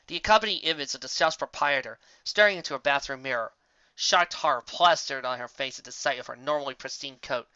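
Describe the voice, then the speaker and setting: A man reading a story aloud as narrator, in an expressive scripted style. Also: medium pitch, brisk, clear, resonant tone, no room sound, lo-fi sound. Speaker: fan fiction narrator.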